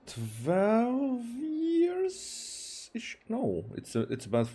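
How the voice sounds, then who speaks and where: A man from Hungary with a Hungarian accent, casually streaming a game and talking haltingly with many fillers and restarts. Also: very high voice, wildly swooping pitch, mellow, slightly rough. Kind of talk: casual livestream commentary, reading game dialogue aloud.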